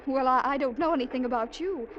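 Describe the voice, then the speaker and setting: Woman playing a high-voiced coy chorus girl. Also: fast, dull audio, close to an everyday voice. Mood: guarded teasing. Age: young adult. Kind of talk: 1940s radio acting. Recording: noisy vintage radio, train rumble.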